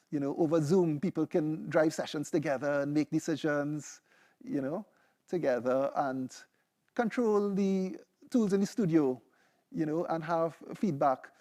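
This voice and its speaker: A man's voice: on the high side, normal speed, dark tone. A young man with a Trinidadian accent giving a seminar talk, loose and conversational, often checking in with "right?" and "you know". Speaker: PhD candidate presenting. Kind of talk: seminar presentation with live demo.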